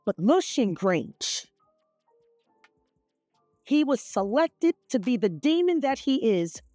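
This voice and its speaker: A woman giving opinion commentary with emphatic, accusatory, deliberate phrasing. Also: a little high, a little mellow, dry recording.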